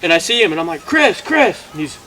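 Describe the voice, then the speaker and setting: A man's voice: sits high, big pitch swings, fast talker, slight background noise. A man telling a comic story to a live audience, exaggerating and acting out the dialogue as he goes. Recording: loud audience laughter behind. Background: laughing crowd.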